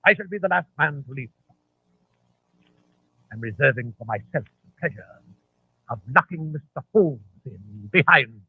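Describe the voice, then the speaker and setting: An actor playing a ruthless, commanding conspiracy leader in a theatrical 1940s radio drama style. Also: male voice, medium pitch, lively intonation, moderate pace, pauses often, husky, dry recording. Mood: gloating, commanding. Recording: tinny, dry vintage radio recording.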